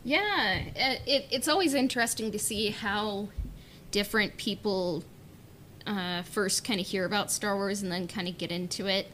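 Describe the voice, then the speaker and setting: A woman's voice: medium pitch, moderate pace, bright, forceful tone, a little hiss or hum. A woman hosting a podcast in a casual, chatty style. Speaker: podcast host. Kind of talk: casual chat.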